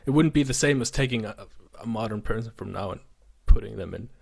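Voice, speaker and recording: A man's voice: lowish voice, fast, gravelly, slightly rough. A man co-hosting a podcast, talking in a loose, rambling way with restarts and casual swearing. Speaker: podcast co-host. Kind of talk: casual chat.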